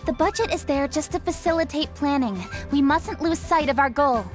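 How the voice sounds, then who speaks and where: A sharp, efficient young official, voiced by a woman, talking in a high, firm and businesslike voice. She sounds principled and to the point, with light game music behind. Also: moderate pace, lightly acted. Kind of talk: game cutscene voice acting.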